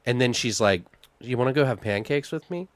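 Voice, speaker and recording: Casual male co-host in his thirties, mid-range voice, animated and foul-mouthed, recorded dry. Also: mid-range voice, fairly quick, dry recording. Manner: animated slangy talk with frequent swearing. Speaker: podcast co-host. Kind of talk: casual chat. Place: home studio room.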